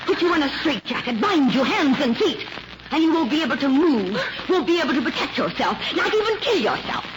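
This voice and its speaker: A woman's voice: sits high, big pitch swings, brisk, background noise. A woman playing a manipulative, menacing sister in an old radio melodrama, intense and domineering, on a vintage recording. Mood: menacing, urgent. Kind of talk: 1940s radio melodrama acting.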